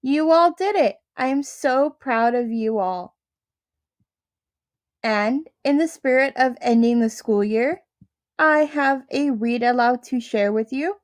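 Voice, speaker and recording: A woman who teaches first grade, talking slowly and gently to her young pupils in a classroom address. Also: fairly high pitch, dry recording. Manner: slow, careful, warm-hearted delivery to young kids.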